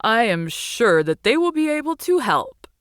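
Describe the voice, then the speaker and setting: A woman voicing a calm, helpful female sea dragon, thoughtful and reassuring, in a children's storybook reading. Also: a little high, normal speed, dry recording, studio quality, voice pushed up.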